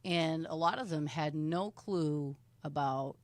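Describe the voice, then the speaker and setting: A woman's voice: sits low, takes it slowly, dry recording. A middle-aged woman hosting an interview show, chatty with rambling lead-ins. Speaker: host.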